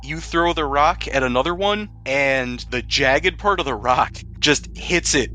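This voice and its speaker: A man's voice: a little high, measured pace, bright, forceful tone, slight background noise. A man narrating a tabletop roleplaying game as game master, switching between dramatic description and casual rules talk.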